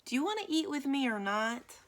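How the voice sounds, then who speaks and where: A woman's voice: high voice, sing-song, a little fast, background noise, pitched above the performer's own voice. A woman voicing a matter-of-fact, mildly exasperated child in a children's picture book read-aloud.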